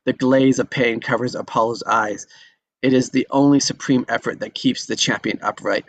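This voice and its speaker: A man's voice: mid-range voice, steady tone, moderate pace, poor audio. A man narrating the action of a film script at a table read.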